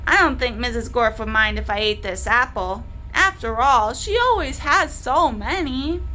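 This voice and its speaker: An easygoing, naive male art teacher from a children's storybook, played by a woman. The voice is high and bright, with animated, guileless musing. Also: unhurried, slight background noise, poor audio. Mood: casual, guileless. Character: good-natured, naive man art teacher. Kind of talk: children's storybook read-aloud.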